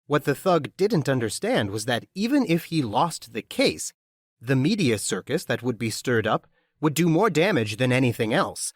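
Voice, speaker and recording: A man's voice: mid-range voice, very animated, moderate pace, no echo, studio quality. A man reading scripted fiction narration as an audiobook narrator.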